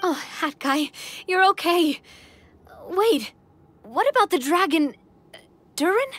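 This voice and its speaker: Very high, animated young heroine. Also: female voice, takes it slowly, exaggerated voice. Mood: relieved. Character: bright, caring young female cat courier. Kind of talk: anime game dubbing.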